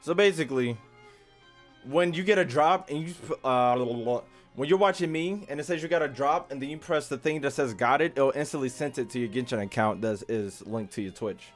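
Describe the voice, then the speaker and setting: A young man with a medium-pitched voice gives loose livestream commentary. He is informal, slangy and rambling. Quiet game music plays behind him. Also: normal speed. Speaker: game streamer. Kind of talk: casual livestream chat and commentary.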